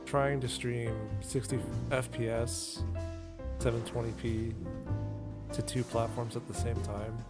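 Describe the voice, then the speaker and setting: Male livestream host, mid-pitched, casual chat over a loud music bed. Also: flat delivery, a little slow. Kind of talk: casual chat to stream viewers.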